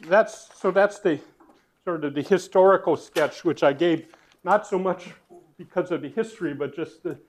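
A man with a somewhat high voice lectures on physics in a hall. He works things out aloud, stumbling over words and filling gaps with "uh." His volume rises and falls as he turns between the board and the room. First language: not Dutch. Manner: thinking aloud with restarts and fillers, varying loudness.

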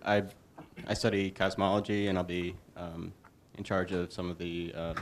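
A man, a physics fellow, introducing himself haltingly with many fillers. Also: low-pitched, little pitch movement, a little hiss or hum.

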